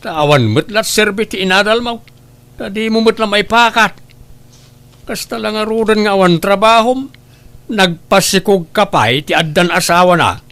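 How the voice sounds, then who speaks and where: A man's voice: sits high, normal speed, fairly bright tone. A man with an Ilocano accent playing a nagging, grumbling older father in a comedy drama. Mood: scolding. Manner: complaining, scolding. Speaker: drama actor.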